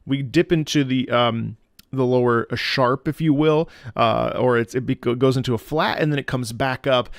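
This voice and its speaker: A man who is an opera singer giving reaction commentary on game music, halting and thoughtful as he searches for words. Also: medium pitch, creaky, no room sound, clean studio sound. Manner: breaks into sung demonstrations of musical phrases.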